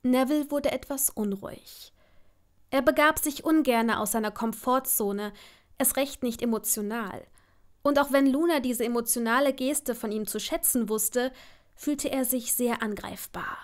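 Woman narrator reading a story for audio drama, voice a bit on the high side. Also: unhurried.

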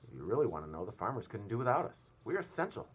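A man's voice: on the low side, very animated, rapid-fire, husky, pitched above the performer's own voice. A man voicing a self-important storybook earthworm, boastful and emphatic.

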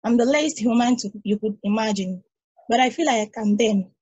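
A woman voicing a calm, philosophical ageless probe, explaining with patient reasoning in a husky voice over a video call. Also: on the high side, normal speed, dull audio.

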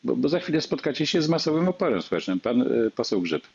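A man hosting a political talk show, moderating the debate in a halting way and repeating words. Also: medium pitch, very animated, creaky, darkish tone, slightly rough. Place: indoors on a video call. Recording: dull, muffled video-call audio.

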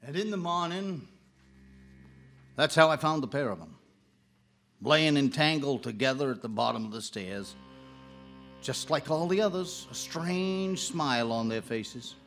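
A man playing a folksy, eerie caretaker narrating a radio ghost story in a gravelly voice. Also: a little high, animated, a little slow, pauses often, a bit bright, faint music behind. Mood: grimly knowing. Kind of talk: old-time radio drama narration.

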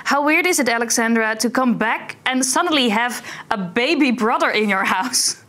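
A woman's voice: on the high side, normal speed, a bit bright. A woman co-hosting a studio show, asking guests friendly questions. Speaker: co-host. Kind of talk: studio interview.

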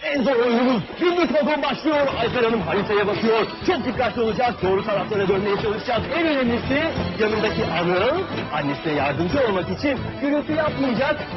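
A young man hosting a TV game show, commentating live in an excitable, encouraging way. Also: very high-pitched. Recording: dull TV audio, loud game music. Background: cartoon game music.

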